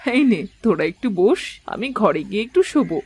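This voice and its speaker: A woman's voice: mid-range voice, sing-song, moderate pace, darkish tone, breathy edge. A woman playing an affectionate older mother-in-law in a cartoon family drama. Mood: tender.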